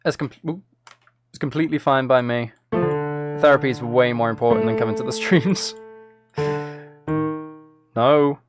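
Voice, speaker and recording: A man's voice: mid-range voice, fairly even intonation, a little slow, pauses often, over music. A man chatting casually on a live stream, playful and self-deprecating, thinking aloud as he works. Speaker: live streamer and music maker.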